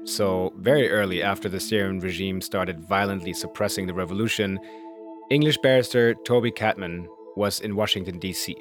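Low-voiced man narrating a documentary. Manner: measured, grave and explanatory. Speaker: podcast host and narrator.

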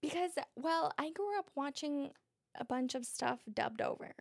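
Woman podcast host, high, gravelly, giggly, dry studio sound. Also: normal speed, no echo. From Mexico. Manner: giggly, animated, laughs often. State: raspy from smoky air. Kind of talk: casual podcast chat.

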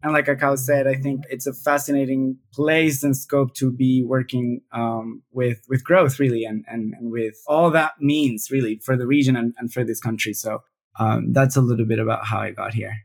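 A man's voice: medium pitch, steady tone, normal speed. A man from Venezuela, a researcher speaking as an interview guest in a thoughtful way, often repeating words. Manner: thoughtful, frequent word repeats. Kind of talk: casual interview talk.

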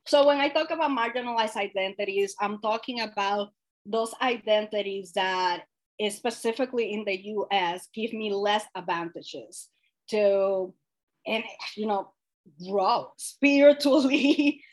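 A woman gives an earnest presentation over a video call. Her voice sits a little high, and her delivery is animated and sincere, with fillers and soft laughs. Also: unhurried. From Dominican Republic. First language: Spanish. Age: late 20s. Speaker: guest speaker.

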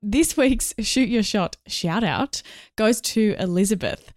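A woman's voice: medium pitch, expressive, slow pace, a little mellow, no echo, studio quality. Upbeat woman podcast host. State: a bit under the weather. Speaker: podcast co-host, HR and recruitment professional. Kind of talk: casual podcast chat.

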